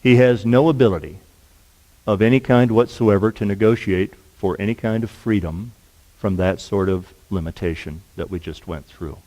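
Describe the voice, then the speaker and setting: A middle-aged man from Nebraska, a lawyer, giving a measured, earnest public lecture at a university, his voice sinking to a hush at the end of each point. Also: on the low side, rough recording. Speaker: lawyer and speaker.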